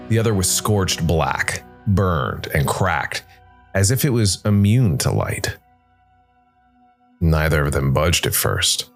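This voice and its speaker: A man hosting and narrating a scripted story podcast in a measured way, with dramatic pauses. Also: extremely low pitch. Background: soft music bed.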